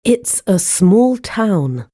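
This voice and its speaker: Mellow woman with slow, careful delivery. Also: mid-range voice, very animated, slightly rough, no echo, clean studio sound. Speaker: dialogue reader in a language course. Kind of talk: scripted language-lesson dialogue.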